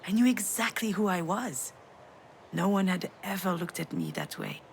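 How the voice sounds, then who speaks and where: An actress playing a weary, grieving mother in a video game, soft and wry, with a self-deprecating manner. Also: lowish voice, huge pitch swings, normal speed, vocal fry, slight background noise, full character voice. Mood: tender, confessional. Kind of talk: naturalistic video game drama acting.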